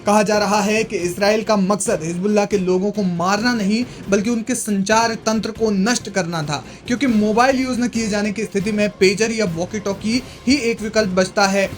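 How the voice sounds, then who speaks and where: A man's voice: high-pitched, steady tone, normal speed, few pauses. A man presenting scripted news narration in an emphatic, explanatory way. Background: background music.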